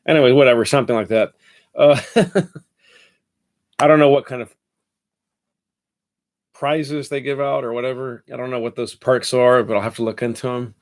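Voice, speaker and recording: A man's voice: medium pitch, fairly even intonation, normal speed, pauses often. A man with a nasal voice, congested from a head cold, chatting through a casual fragrance review in a rambling, self-correcting way.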